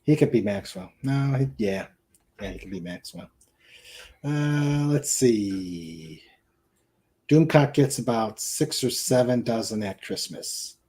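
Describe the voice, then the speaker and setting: A man's voice: medium pitch, slow, warm tone. A man hosting a casual live chat, reading out viewer comments in a hesitant, rambling way and repeating his phrases. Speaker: show host. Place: at home in a room with a couch.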